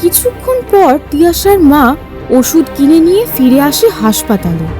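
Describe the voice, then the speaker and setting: High-voiced woman narrating over music. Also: very animated, moderate pace. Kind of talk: scripted story narration. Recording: music clearly under the voice.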